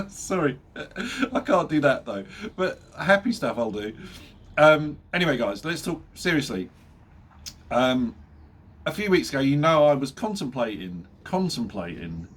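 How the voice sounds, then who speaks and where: A man's voice: medium pitch, a bit bright, a little hiss or hum. A middle-aged man with a blocked-up nose from a cold, chatting casually to his viewers, joking and chuckling at himself with plenty of ums along the way. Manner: chatty, jokey, laughs at his own jokes, many fillers. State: nasal from a cold. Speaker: motorbike vlogger. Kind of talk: casual chat to camera.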